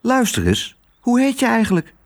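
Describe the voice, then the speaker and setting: Man putting on a high-pitched, spirited little girl. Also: normal speed, full character voice. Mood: bold, firm. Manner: lively, direct, exclamatory. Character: young girl, spirited, anxious, plain-spoken daughter.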